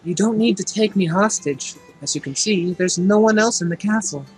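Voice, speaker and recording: A woman's voice: medium pitch, normal speed, darkish tone, soft background music, natural voice, rough recording. A woman voicing an overfamiliar, friendly demon maid in an amateur game fandub. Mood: earnest, calm. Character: friendly, overfamiliar female demon castle maid, loyal and chirpy. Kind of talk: amateur visual novel fandub acting.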